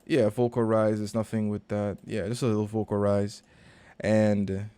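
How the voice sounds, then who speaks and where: A man, a recording and mixing engineer, casually walking through a session in a relaxed, slangy, conversational way. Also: fairly low pitch, moderate pace. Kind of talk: casual screen-share walkthrough.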